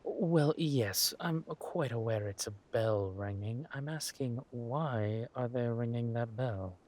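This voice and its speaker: Man playing a husky, languid villain king. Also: medium pitch, darkish tone. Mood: puzzled, curious. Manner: teasing, languid, amused, drawn-out phrasing with murmured asides. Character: flirtatious, smug villain king, husband on a voyage. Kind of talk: roleplay audio drama addressed to the listener.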